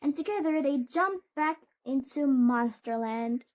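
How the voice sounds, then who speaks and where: A woman reading a children's storybook aloud in a deliberate way, with occasional stumbles. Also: high voice, lively intonation, a little mellow. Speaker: storybook reader.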